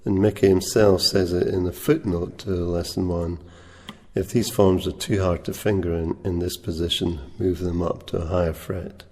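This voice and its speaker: A soft-spoken man teaching a guitar lesson, unhurried, pausing often with ums and uhs. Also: sits low, flat delivery. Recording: reverberant room with guitar playing.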